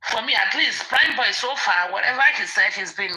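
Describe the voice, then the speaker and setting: Bright-voiced Nigerian woman guest. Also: medium pitch, animated, a little fast, low-quality recording. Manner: earnest and skeptical, mixes in Yoruba phrases. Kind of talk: casual commentary chat.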